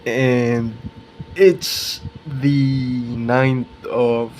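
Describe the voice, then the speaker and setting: A twenty-year-old man talking casually and reflectively to his viewers in a vlog, halting and correcting himself as he searches for words. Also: mid-range voice, level tone, a little mellow, a little hiss or hum. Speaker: art video creator.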